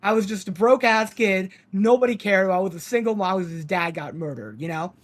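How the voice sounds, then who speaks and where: A man's voice: high voice, a little fast. A man who grew up in Ukraine, a podcast guest in casual chat, rambling and sardonic, laughing often.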